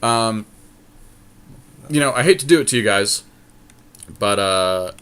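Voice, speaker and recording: A man with a fairly low voice talks casually through a card game draft. He deliberates out loud over each pick as a podcast co-host, in an offhand conversational way. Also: lively intonation, smooth. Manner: thinking aloud, deliberating choices. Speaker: podcast co-host and player making card picks.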